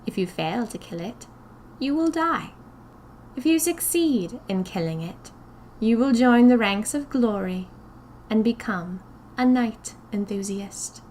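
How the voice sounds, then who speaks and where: A woman's voice: fairly high pitch, animated, measured pace, darkish tone, slight background noise, low-quality recording. A woman voicing a small, stern, schoolmistress-like leader of a sinister magical society in audio fiction, speaking in a commanding, formal, ceremonious way. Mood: cold, commanding.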